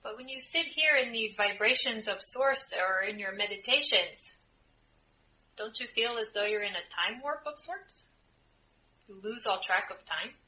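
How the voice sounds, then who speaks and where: A woman leading a guided group meditation as a spiritual channeler, speaking in measured, deliberate phrases with frequent pauses. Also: medium pitch, expressive, sharp tone, poor audio. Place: indoor room, live group setting. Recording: live group room, clear background noise.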